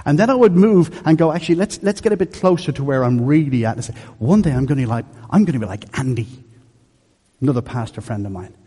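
A man in his fifties from Dublin, with a softened Dublin Irish accent, preaching a sermon in a joking, conversational, storytelling way. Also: medium pitch, fast talker, husky. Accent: Dublin Irish, softened by years in England. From Ireland. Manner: conversational, jokey, animated storytelling. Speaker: pastor. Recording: echoey hall.

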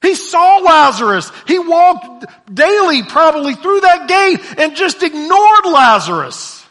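A male preacher with a high, bright voice gives a sermon at an unhurried, deliberate pace. He drops to hushed low lines, then climbs to loud, shouted emphasis, and sometimes repeats words as he pushes on. His voice carries a long reverb, as if it fills a church hall. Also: sing-song. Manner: repeats words when stumbling.

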